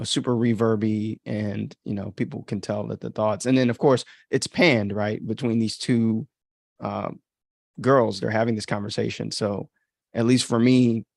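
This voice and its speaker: A man's voice: lowish voice, gravelly, a little mellow, dry recording, clean studio sound. A man hosting a casual livestream commentary on his own work as an author, thinking aloud in an informal, self-critical way. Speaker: author and podcast host.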